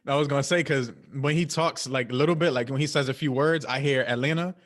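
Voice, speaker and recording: A man's voice: mid-range voice, a little fast, a bit bright. A man co-hosting a casual chat, amused and chatty.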